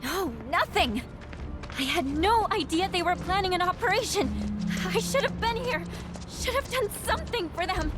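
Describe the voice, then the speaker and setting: A woman playing a cocky, excitable young female ninja in a video game, chatty, brash and boastful. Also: very high-pitched, moderate pace. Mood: shocked, upset. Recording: loud music behind the voice.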